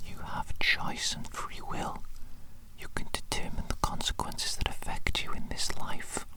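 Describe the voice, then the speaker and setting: An ASMR performer voicing a sinister, doting evil doctor in a breathy whisper, repeating phrases deliberately in a medical roleplay, close to the microphone. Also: extremely high pitch, exaggerated voice, rough recording. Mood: intense, commanding. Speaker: ASMR performer playing an eye examiner.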